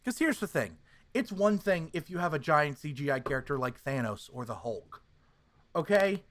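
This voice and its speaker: A man's voice: a little high, animated, moderate pace, fairly bright tone. A man hosting a podcast, giving opinionated casual reviews in an emphatic, sweary way. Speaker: podcast host.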